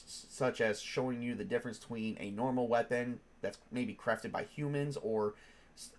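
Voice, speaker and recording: Stuffy-nosed man rambling casually. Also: on the low side. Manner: rambling, lots of ums and restarts. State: stuffed up from a cold. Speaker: tabletop game master and streamer. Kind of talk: casual live-stream chat.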